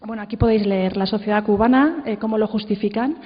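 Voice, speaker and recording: A woman's voice: medium pitch, fast, highs cut off. Spanish woman presenting in a reverberant hall. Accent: Castilian Spanish. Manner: informal, explanatory, seeks agreement with tags. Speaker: conference speaker. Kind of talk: presentation with slides. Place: large indoor conference room.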